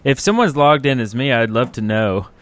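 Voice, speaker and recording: A man's voice: mid-range voice, lively intonation, normal speed, no room sound. A man chatting informally as a podcast co-host. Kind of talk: casual chat.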